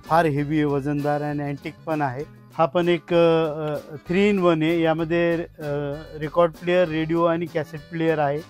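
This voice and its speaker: A man's voice: fairly high pitch, darkish tone. A man talks informally as a collector, explaining his items in short phrases. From India. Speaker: radio collector being interviewed.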